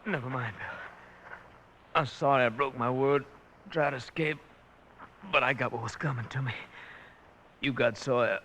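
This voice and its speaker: A man acts out a dying, repentant outlaw in a 1950s radio Western. His medium-pitched voice is weak and fading, with faint noise on the old recording. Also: moderate pace, pauses often, a bit bright, lightly acted. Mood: weak, regretful. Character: wounded, dying, repentant outlaw prisoner, wry.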